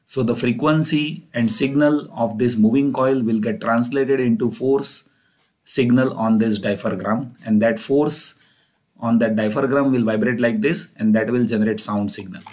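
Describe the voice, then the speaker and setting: A man's voice: mid-range voice, fairly even intonation, unhurried, a little mellow. A man with a mid-range voice delivers an explanatory engineering lecture. He works through the material one step at a time, restating points as he goes.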